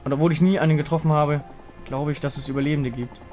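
A solemn priestly warrior woman from a fantasy game , as a man reads her. The voice sits fairly high and speaks gravely and formally, with measured weight. Quiet music plays behind. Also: fairly even intonation, natural voice. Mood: earnest.

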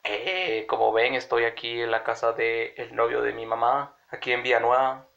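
A man's voice: medium pitch, fairly even intonation, normal speed, vocal fry, a bit bright. A young man in his early twenties with a cold, chatting rambly to his phone camera in a small room at home. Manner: rambling, chatty, addressing viewers directly. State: sick with a cold, congested. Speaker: vlogger. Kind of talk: casual vlog to camera. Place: small room in a house, indoors. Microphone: phone camera at arm's length. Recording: dull lo-fi phone audio.

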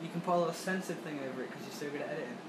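A man's voice: on the high side, very fast, background noise. A young man with an English accent, chatting playfully and a bit bashfully in a casual vlog, recorded on a handheld phone. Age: young adult. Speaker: vlogger. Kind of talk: casual couple vlog chat. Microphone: handheld phone camera.

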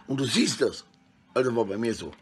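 A middle-aged man with a husky voice rambles casually and coarsely in slang, talking to his phone at arm's length indoors. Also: medium pitch, fairly quick, soft background music. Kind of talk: rambling casual selfie monologue. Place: small indoor room at home.

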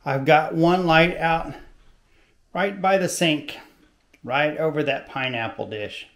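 An older man hosting a home repair video in his kitchen, explaining in a relaxed, folksy, plain-spoken way on a lapel mic. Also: on the high side, takes it slowly. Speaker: DIY video host. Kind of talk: casual how-to demonstration.

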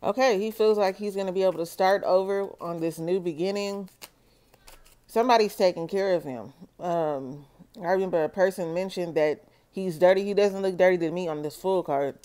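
A woman doing a solo tarot reading for her viewers, talking slowly and checking in with "okay?". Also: lowish voice, dark tone, clear, resonant tone. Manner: slow, measured, repeats "okay?" to viewers. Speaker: tarot reader.

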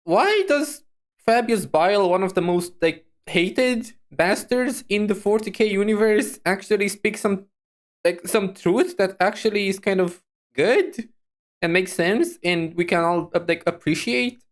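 A streamer giving casual reaction commentary in an animated, rambling, giggly way into a close microphone. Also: mid-range voice, a little slow.

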